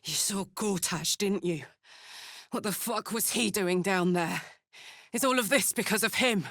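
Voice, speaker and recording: Battle-hardened female warrior for a fantasy game: brash, informal, warm-hearted, emotive, mid-pitched, unhurried delivery. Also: dry recording, studio quality. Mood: angry, suspicious. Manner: informal, blunt, earthy, emotive. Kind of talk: video game character voice acting.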